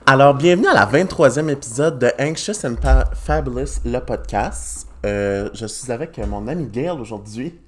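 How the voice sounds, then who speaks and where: A man's voice: mid-range voice, lively intonation, moderate pace, hardly any pauses, a little hiss or hum. A young man in his twenties with a Québécois accent, hosting a casual podcast and telling self-mocking stories with lots of laughter. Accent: Québécois French. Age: 20s. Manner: joking, self-mocking storyteller with frequent laughs. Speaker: podcast host. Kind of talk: casual chat.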